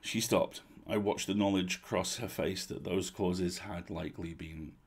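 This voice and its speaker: A man reading a novel aloud as narrator, in an expressive audiobook style. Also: low-pitched, a little slow, breathy edge.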